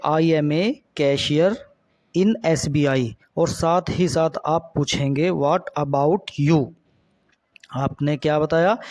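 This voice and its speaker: Slow-speaking man teaching spoken English. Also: fairly high pitch, darkish tone, no echo. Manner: slow, deliberate, repeats each English sentence with a Hindi translation. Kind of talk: spoken English lesson, explaining in Hindi.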